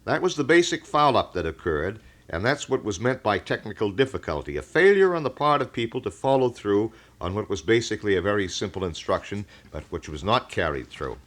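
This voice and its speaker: Guy doing local radio talk, lowish voice, really up and down, explains stuff in detail. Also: sing-song, a bit bright. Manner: wordy, detailed, measured explanations. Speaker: radio talk show host. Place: radio studio.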